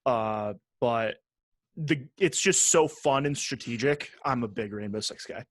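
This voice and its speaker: A man's voice: mid-range voice, measured pace, no echo, clean studio sound. A man co-hosting a podcast in casual chat, talking bluntly and swearing freely. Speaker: podcast co-host.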